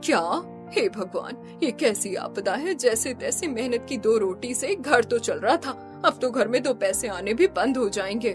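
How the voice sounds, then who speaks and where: Woman doing worried older saas in a cartoon, high voice, very animated, bit of music. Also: a little slow, few pauses. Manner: fretting, exclamatory. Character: fretful, thrifty older mother-in-law.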